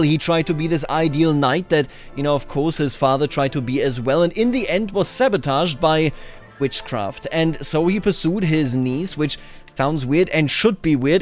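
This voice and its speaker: Male game commentator, slightly high voice, musing storyteller who explains as he goes, soft music underneath. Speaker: host of a video game playthrough series.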